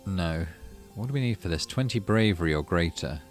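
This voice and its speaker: A man's voice: low voice, expressive, a little fast, faint music behind. A man hosting a gaming video, narrating on-screen story text and adding casual commentary. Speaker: gaming channel host. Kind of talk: let's play narration, reading game text with casual commentary.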